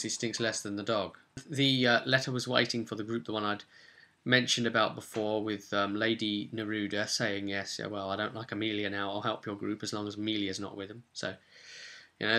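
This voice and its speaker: A man's voice: lowish voice, sharp tone. A British man giving a chatty, rambling recap as a game master, telling the story casually with wry asides and loosely acted-out quotes. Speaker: game master recapping a tabletop role-playing session.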